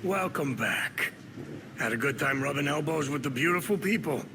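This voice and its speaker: An actor playing a suave, ingratiating, wry bar owner with a shady streak in a video game. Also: male voice, a little high, expressive, normal speed. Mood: sly, ingratiating. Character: smooth, sly male bar owner, ex-criminal. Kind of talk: conversational video game acting. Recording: clear background noise.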